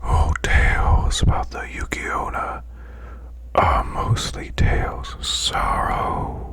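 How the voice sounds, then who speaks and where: A man voicing a demon boss in a breathy, growling whisper, reading folklore slowly as soothing bedtime ASMR. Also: low-pitched, exaggerated voice. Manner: slow, hushed, deliberate recitation. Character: male demon butler's boss, growling softly, soothing storyteller.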